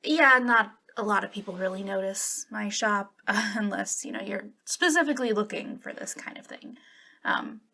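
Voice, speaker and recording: A young woman playing a friendly, eager and slightly awkward witch shopkeeper in a softly spoken ASMR roleplay, with a hesitant, giggly way of talking. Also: mid-range voice, moderate pace. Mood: chatty, awkward.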